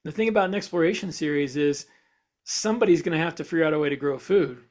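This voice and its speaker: A man's voice: fairly high pitch, fast talker, darkish tone. A man talking casually as a podcast host, explaining ideas and thinking aloud as he goes. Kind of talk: casual discussion.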